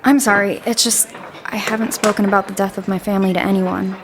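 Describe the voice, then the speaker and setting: An actress playing a frank, caring but guarded young village woman in a fantasy audio drama, brisk and naturalistic in delivery. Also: medium pitch, level tone, background noise, lightly acted. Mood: guarded, sorrowful.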